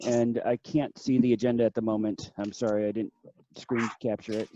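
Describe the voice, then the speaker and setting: A man's voice: on the low side, little pitch movement, fairly quick, very noisy. A man chairing a meeting on a video call, checking details aloud in an easygoing way. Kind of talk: casual meeting chat. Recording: dull, dry video call audio.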